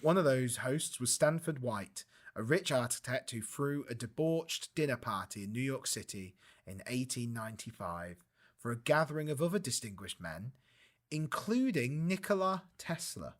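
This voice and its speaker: A man's voice: mid-range voice, animated, normal speed. A young man co-hosting a podcast, chatting casually as he reads out history notes and laughs at them. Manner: chatty, laughing, reading aloud and commenting. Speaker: podcast co-host.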